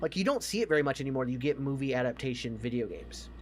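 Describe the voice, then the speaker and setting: A man's voice: mid-range voice, lively intonation, fast talker, slight background noise. A man co-hosting a casual podcast chat, giving emphatic opinions and swearing freely. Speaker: podcast co-host. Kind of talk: casual opinionated chat about games and movies.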